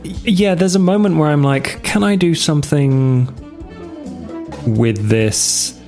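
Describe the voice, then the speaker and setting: A gravelly male player speaks very slowly, with a hesitant, wry delivery as he plays his character in a tabletop game. Faint music plays in the background. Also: a little high, a little mellow.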